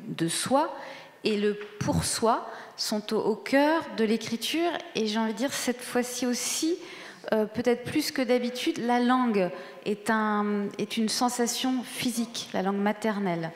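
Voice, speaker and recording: A woman's voice: a little high, unhurried. Woman moderator, echoey event hall. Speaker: journalist moderating a panel. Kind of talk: moderating a literary round table. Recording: echoey hall with long reverb.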